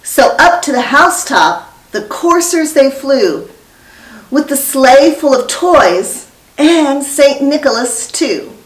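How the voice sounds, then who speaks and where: A woman reads a rhyming storybook poem aloud at an unhurried pace. Her voice sits on the high side, and the room echoes around her from slightly away. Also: expressive, recorded from a distance. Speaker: reader.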